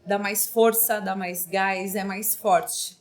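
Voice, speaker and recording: A young woman hosting a casual podcast interview, chatty and quick to laugh, asking long, rambling questions. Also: mid-range voice, steady tone, soft background music. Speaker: podcast host.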